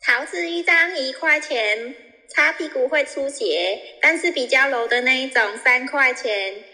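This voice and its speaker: A woman playing a blunt, money-grubbing airline attendant with a deadpan, businesslike manner in a comic audio drama. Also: high voice, a little slow, exaggerated voice. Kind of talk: scripted comic announcement. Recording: reverberant hall with music.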